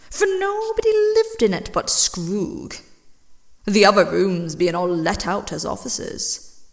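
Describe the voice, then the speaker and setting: A woman reading classic fiction as a dramatic audiobook, with theatrical, deliberate delivery that drops into hushed phrases. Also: medium pitch. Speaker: audiobook reader and voice actress.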